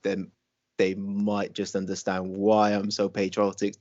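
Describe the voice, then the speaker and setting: A man's voice: fairly low pitch, monotone, unhurried, darkish tone. Rambling young Welsh man, dull sound. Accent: mixed English, American and Welsh. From Wales. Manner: rambling, full of ums and restarts. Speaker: podcast co-host. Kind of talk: casual chat.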